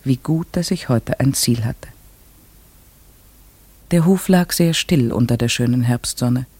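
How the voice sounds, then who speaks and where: Village schoolteacher, young woman, measured and gently probing; husky mid voice, dry studio. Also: a bass voice, no echo, heavily acted. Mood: reflective. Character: young unmarried female village teacher, strict but kind. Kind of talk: 1950s radio drama acting with first-person narration.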